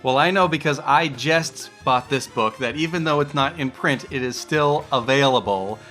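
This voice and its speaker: A man's voice: medium pitch, moderate pace, sharp tone, soft background music. A middle-aged American man chatting casually as he hosts a podcast interview.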